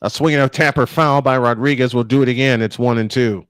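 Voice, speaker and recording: A man calling live baseball play-by-play and telling stories in an animated, emphatic way, stretching phrases for drama. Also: mid-range voice, fast talker, clean tone, no room sound. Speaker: play-by-play sportscaster. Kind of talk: live baseball commentary mixed with animated storytelling.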